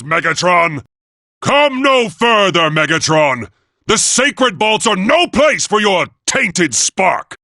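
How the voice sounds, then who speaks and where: Proud robot leader for a video game: high, sharp-edged, formal and grandiose. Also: male voice, no room sound, clean studio sound, exaggerated voice. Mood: imperious, defiant. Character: proud noble male robot leader, commanding and solemn. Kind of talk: theatrical video game voice acting.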